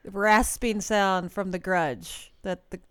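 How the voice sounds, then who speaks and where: A woman's voice: medium pitch, steady tone, slow, a crowd in the background. A woman co-hosting a podcast in casual chat, teasing and joking with the others. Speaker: podcast co-host.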